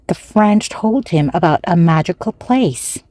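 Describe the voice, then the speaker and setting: Woman narrator, very slow and deliberate, clear storybook reading, dry sound. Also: on the low side, expressive, dark tone, no room sound. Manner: slow, careful, evenly paced reading. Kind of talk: slow read-aloud for language learners.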